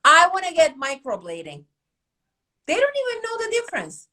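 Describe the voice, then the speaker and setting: Animated Turkish-accented woman. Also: very high-pitched, normal speed. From Turkey. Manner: animated, emphatic, asks rhetorical questions. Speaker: academy host. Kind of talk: casual podcast chat.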